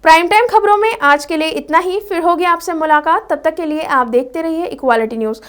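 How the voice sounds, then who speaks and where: A woman's voice: extremely high pitch, fairly quick. A woman presenting scripted news as the anchor of a bulletin.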